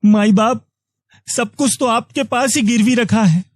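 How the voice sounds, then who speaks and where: A man's voice: very high-pitched, unhurried, no room sound, clean studio sound. A man voicing a poor, desperate villager in a cartoon moral story, begging humbly and deferentially. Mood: desperate pleading. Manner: pleading, deferential.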